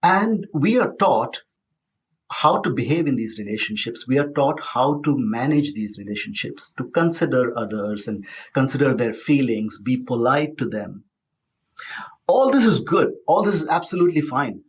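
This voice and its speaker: A man hosts a podcast interview in a voice of middling pitch. He sounds upbeat and emphatic, stretching out key phrases as he guides the talk. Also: sing-song, moderate pace. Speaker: podcast host.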